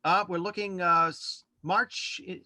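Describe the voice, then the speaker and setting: A man chatting casually as a podcast guest, halting and rambling as he corrects himself. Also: sits high, fairly even intonation, pushed and bright, no echo, clean studio sound. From Worcester, United States. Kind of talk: casual interview chat. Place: virtual studio online call.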